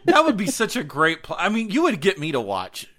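A man's voice: high voice, huge pitch swings, fairly quick, sharp tone. A man co-hosting a podcast in casual banter, exclamatory and sweary, given to sarcastic ranting. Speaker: podcast co-host. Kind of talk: casual banter about TV and games.

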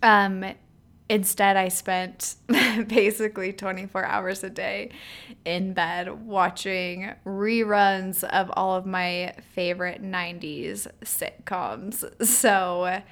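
A woman's voice: mid-range voice, steady tone, takes it slowly, fairly bright tone. A woman in her thirties hosting a solo podcast, chatting casually with lots of ums and likes, her voice a little nasal from a healing throat. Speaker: podcast host.

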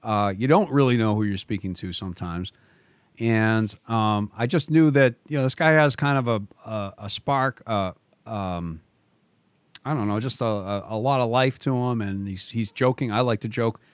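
A talkative man with a Boston accent hosts the conversation and drifts through stories with false starts and side remarks. His voice sits at an ordinary middle pitch. It is recorded close and dry, with no room sound around it. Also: no echo. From metropolitan Boston. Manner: talkative, lots of restarts and trailing asides. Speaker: podcast host. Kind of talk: rambling conversational storytelling.

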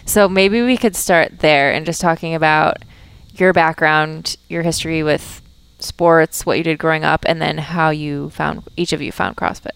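A woman's voice: deep voice, steady tone, normal speed, gravelly, hardly any pauses, smooth, no room sound. A young adult woman hosting a podcast, chatting with guests in their home with upbeat, frequent short affirmations. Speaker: podcast host. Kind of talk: casual interview chat.

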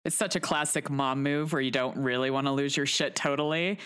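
A woman's voice: rumbling low, fairly even intonation, brisk, a bit bright, clean tone. An American woman, around her thirties or forties, chatting casually as a podcast co-host. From United States. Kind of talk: casual chat.

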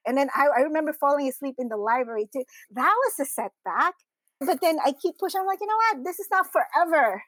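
A woman with a fairly high-pitched voice, from the Philippines, answers as an interview guest. She tells personal stories in a conversational style. The sound is dry, with little room around her. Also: lively intonation, quick pace, a bit bright, no room sound. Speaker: interview guest, women's community founder.

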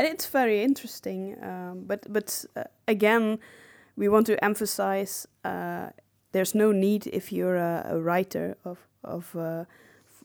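A woman co-hosting a podcast, explaining things casually and somewhat hesitantly. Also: mid-range voice. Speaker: podcast co-host.

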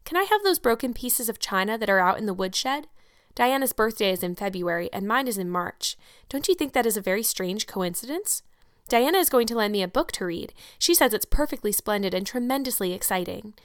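A woman's voice: mid-range voice, animated, brisk, no room sound, lightly acted. Brief: woman reading as a chatty, dreamy, eager young girl, gushing, dry close sound. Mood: effusive. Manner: breathless, effusive, romantic.

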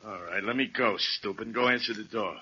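A sly gangster henchman, husky and hard-edged at a middle pitch. He wheedles and sneers in old radio crime drama style, on a dull vintage recording. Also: steady tone, fairly quick, bright, forceful tone, full character voice. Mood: insolent.

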